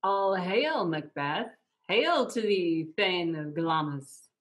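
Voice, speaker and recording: A woman's voice: on the low side, expressive, slow. A middle-aged woman playing a vengeful witch, chanting verse in an amateur Shakespeare read-through on a video call. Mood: prophesying. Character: menacing, vengeful female witch. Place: room at home, indoors.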